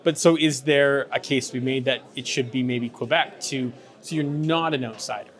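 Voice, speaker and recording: A man's voice: medium pitch, fairly even intonation, moderate pace. A male news interviewer asking long, rambling questions full of fillers and restarts. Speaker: interviewer for a mining news channel.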